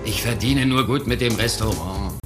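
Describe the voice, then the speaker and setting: An actor playing an evasive, defensive innkeeper in a radio crime drama, protesting and repeating himself. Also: male voice, fairly low pitch, moderate pace, over music, close to an everyday voice. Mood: defensive, indignant.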